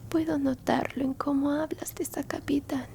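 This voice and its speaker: A woman's voice: sits high, steady tone, vocal fry, a little mellow, a little hiss or hum. A woman playing a kindly old alien grandmother in a whispered ASMR roleplay, tenderly and unhurriedly comforting a lost human. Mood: gentle, reflective. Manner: tender, unhurried, reassuring, near-constant whisper.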